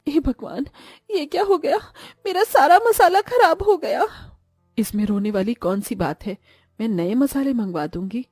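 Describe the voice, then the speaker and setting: A woman acting an expressive, melodramatic household woman in a family cartoon story. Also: high-pitched, normal speed, slightly rough, dry recording, studio quality, faint music behind. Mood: distraught.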